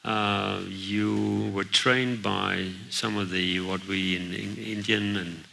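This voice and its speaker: Gravelly bright-voiced male interviewer. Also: on the low side, steady tone, slow. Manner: hesitant, many fillers, long winding questions. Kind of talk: onstage interview questions. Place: event venue. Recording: faint hiss.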